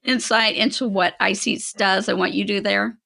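A woman's voice: medium pitch, steady tone, normal speed, creaky, bright, forceful tone, no echo. A woman hosting a business podcast, opening the episode and introducing the topic in an upbeat way. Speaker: podcast host.

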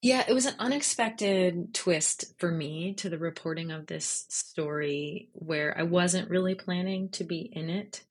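A woman's voice: on the low side, slightly rough. A woman speaking as an interview guest, in a conversational and reflective style. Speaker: interview guest, freelance journalist.

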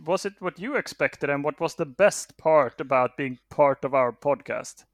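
A man's voice: fairly high pitch, moderate pace, no room sound. A man co-hosting a podcast in casual chat, lightly teasing the others. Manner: teasing, lively. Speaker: podcast co-host.

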